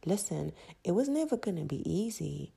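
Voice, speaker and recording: A low-voiced woman from Zimbabwe hosts a solo motivational podcast. Her delivery is emphatic and repetitive, like a preacher driving a point home. Her tone is dark and gravelly, with a husky, breathy edge. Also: sing-song, moderate pace. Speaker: podcast host.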